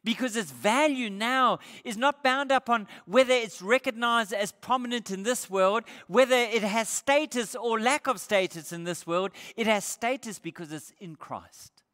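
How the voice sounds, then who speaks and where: Older pastor preaching in a reverberant church. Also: male voice, normal speed, few pauses. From Australia. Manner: emphatic, rising on scripture quotes and dropping low for quiet points. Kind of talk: sermon. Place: church stage with brick wall. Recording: church hall with long reverb.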